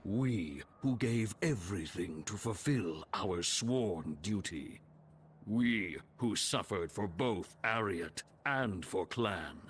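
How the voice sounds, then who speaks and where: A man's voice: on the low side, expressive, slow pace, slight background noise. A man voicing a stern, proud ancient chieftain's spirit in a fantasy game, speaking in grave, formal oratory. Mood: stern, challenging. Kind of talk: scripted fantasy game voice acting.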